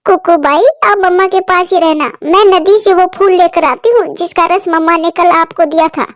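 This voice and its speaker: A woman's voice: very high voice, normal speed, fairly bright tone, dry recording, studio quality, pitched above the performer's own voice. Chinki, a woman doing a caring girl bird. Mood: earnest. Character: caring young girl bird, big sister.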